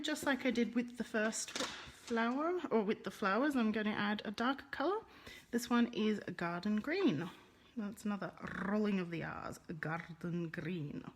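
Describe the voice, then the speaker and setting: A woman's voice: medium pitch, a little hiss or hum. Chatty woman with a Russian accent. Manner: chatty, greets viewers, rolls her R's. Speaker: card-making teacher. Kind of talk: live-streamed craft lesson.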